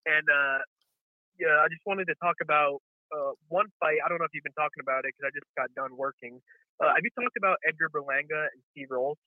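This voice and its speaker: A man calling into a show, sick with a raspy, nasal voice, talking in a casual, laid-back way. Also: on the high side, fast talker, no echo. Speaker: caller. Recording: phone line.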